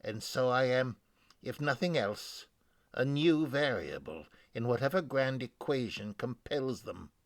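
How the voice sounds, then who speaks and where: A man's voice: medium pitch, lively intonation, measured pace, no room sound. A man playing a weary, grave scholar being changed by machine parasites, formal and brooding in a dramatic game lore reading. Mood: brooding, uneasy.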